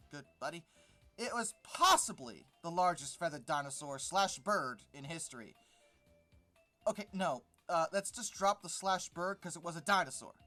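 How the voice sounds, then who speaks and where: Exasperated YouTube host, mid-pitched voice, sardonic asides while critiquing an article, halting emphatic repeats, light music underneath. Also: fairly bright tone. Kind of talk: reaction commentary, reading an article and critiquing it.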